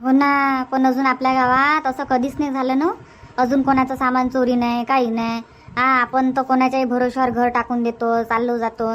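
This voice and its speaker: Woman playing a high-voiced village granny. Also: level tone, moderate pace, lightly acted. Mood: chatty. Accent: Varhadi Marathi. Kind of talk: cartoon comedy dubbing.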